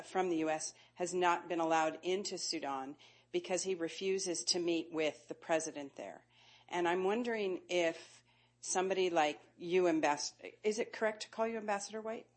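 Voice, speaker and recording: A woman's voice: on the low side, moderate pace. A woman in the audience at a panel event, asking a long, winding question in a friendly way over a handheld microphone.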